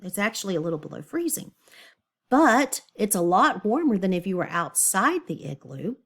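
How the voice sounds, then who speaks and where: A woman's voice: mid-range voice, big pitch swings, normal speed, husky. A woman teacher giving a read-aloud lesson for children, explaining things step by step and thinking aloud. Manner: explanatory, asks the listener questions and thinks aloud.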